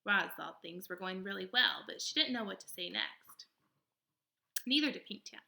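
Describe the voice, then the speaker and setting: A woman's voice: mid-range voice, expressive, normal speed, vocal fry, pushed and bright. A woman reading a storybook aloud as narrator, expressively, with casual spoken asides.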